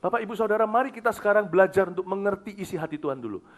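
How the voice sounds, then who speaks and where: Middle-aged male pastor from Indonesia, lively high voice, emphatic preaching with quiet confiding drops. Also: very fast. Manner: emphatic, swings from loud declarations to soft confiding; slips into English phrases. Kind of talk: sermon.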